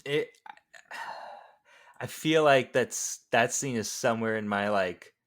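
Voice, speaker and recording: A man's voice: medium pitch, unhurried. A man chatting casually about films, animatedly retelling scenes, acting out lines and swearing freely. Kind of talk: casual movie discussion podcast chat.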